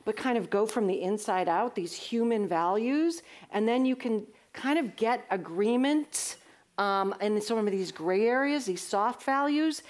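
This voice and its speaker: A woman's voice: medium pitch, a little slow, a little mellow. A woman on a symposium panel, answering an audience question in an earnest, conversational way with frequent restarts.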